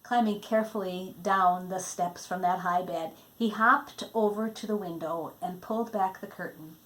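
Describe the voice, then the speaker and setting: A woman reading a Christmas storybook aloud in an expressive, dramatic way, letting phrase endings fall hushed. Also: medium pitch, moderate pace, poor audio. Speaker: storybook reader.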